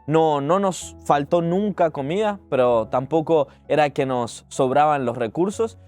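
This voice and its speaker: A man's voice: a little high, a little slow, a little mellow. A man in his twenties with a Uruguayan accent, telling a personal story in an earnest, conversational, reflective way. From Montevideo, Uruguay. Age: 20s. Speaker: digital entrepreneur telling their life story. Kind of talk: personal storytelling vlog, spoken to camera. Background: music.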